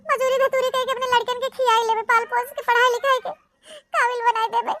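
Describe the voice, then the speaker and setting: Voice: extremely high pitch, flat delivery, brisk, sharp tone, exaggerated voice. A performer voicing a wronged, heartbroken village wife and mother in a cartoon melodrama, plaintive and pleading, with an eastern Uttar Pradesh Awadhi accent. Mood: hurt, tearful. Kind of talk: regional cartoon comedy melodrama.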